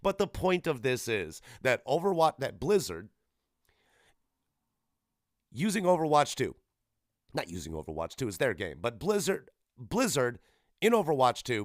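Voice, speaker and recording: A man's voice: mid-range voice, sing-song, moderate pace, no room sound, clean studio sound. Rambling, irreverent man hosting a podcast. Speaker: podcast host. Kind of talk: casual solo gaming commentary.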